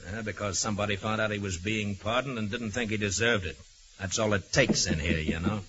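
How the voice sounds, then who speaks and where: A man's voice: on the low side, fairly quick, lightly acted. A man playing a hard-line, scornful prison captain, blunt and accusing, in 1940s radio drama style. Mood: gruff, scornful. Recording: noisy, dull vintage 1940s radio recording.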